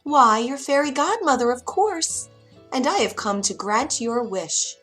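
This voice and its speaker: Woman putting on a bossy fairy godmother, huffy and quick, kinda high. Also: lively intonation, fairly bright tone, faint music behind. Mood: brisk. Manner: huffy, matter-of-fact. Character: bossy, brisk old female fairy godmother.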